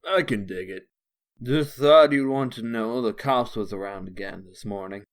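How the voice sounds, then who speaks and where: A man's voice: on the low side, normal speed, natural voice. A man playing a chatty, streetwise street bum who rambles in folksy slang in a comic dub. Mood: chatty, amused.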